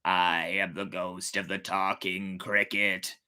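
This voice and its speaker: A woman voicing the ghost of a small talking cricket, a grave, fatherly adviser with a low, hushed voice. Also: little pitch movement, slow pace, clear, resonant tone, exaggerated voice. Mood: grave, urging. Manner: grave, admonishing.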